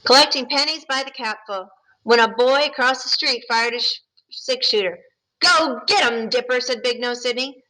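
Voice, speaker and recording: Bright-voiced teacher reading to kids. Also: female voice, on the high side, steady tone, normal speed, rough recording. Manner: friendly, explaining to children between passages. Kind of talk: reading a picture book aloud to students.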